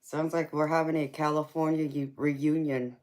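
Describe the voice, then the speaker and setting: A woman's voice: sits low, flat delivery, normal speed, a little mellow. A woman with a Southern accent hosting a live tarot stream, chatting casually with her viewers. She laughs often and calls people baby.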